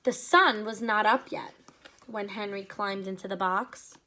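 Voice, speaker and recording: A woman teacher reading a picture book aloud to children, with expressive pacing and short explanatory asides. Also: medium pitch, unhurried.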